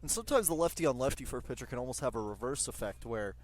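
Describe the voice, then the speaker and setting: A man's voice: mid-range voice, animated, very fast, a little hiss or hum. A man working as a baseball color commentator, explaining and analyzing in a conversational way.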